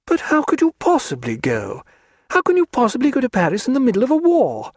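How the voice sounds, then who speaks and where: Guy doing a giddy teasing lady, high and bouncy, dry close sound. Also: huge pitch swings, fairly quick, no echo, heavily acted. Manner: gushing, teasing, high-spirited. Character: lively teasing young English lady. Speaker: audiobook narrator.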